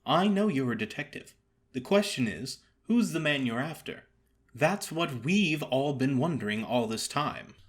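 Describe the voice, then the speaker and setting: A man's voice: mid-range voice, lively intonation, unhurried, natural voice. A man voicing a genial stage comedian in an audio drama story, chummy, blunt and needling in manner. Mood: teasing.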